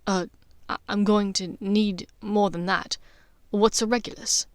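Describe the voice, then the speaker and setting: A woman's voice: mid-range voice, fairly even intonation, measured pace, no room sound, lightly acted. A woman voicing a nervous young wizard man in an audiobook, speaking in a hesitant, wry, understated way. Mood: subdued, puzzled. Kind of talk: dramatized audiobook character dialogue.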